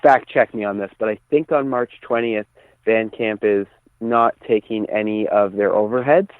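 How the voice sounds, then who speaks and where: A man's voice: lowish voice, moderate pace, no room sound. A man giving a casual, conversational radio interview over the phone, speaking a bit hesitantly. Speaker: band singer, phone-in guest. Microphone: phone call. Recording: tinny narrow phone line.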